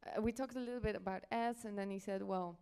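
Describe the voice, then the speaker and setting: A woman's voice: medium pitch, fairly even intonation, fast talker, vocal fry, warm tone, clear, resonant tone. A woman from the Netherlands giving a conference presentation as a panel speaker, a little hesitant and stopping to reword as she goes. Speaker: panel speaker, journalism editor.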